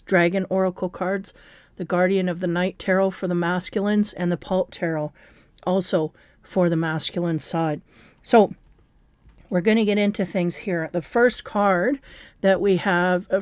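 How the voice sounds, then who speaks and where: A woman with a raspy, hoarse voice giving a casual tarot card reading, rambling and restarting her sentences with many fillers. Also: on the low side, darkish tone, no echo, clean studio sound.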